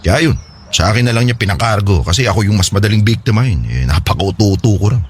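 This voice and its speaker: A man's voice: lowish voice, lively intonation, fast, slight background noise, lightly acted. A man playing an earnest, regretful separated husband and devoted father in a radio drama, speaking in a confiding, hesitant way. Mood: hurt, confiding. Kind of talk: Filipino radio drama dialogue.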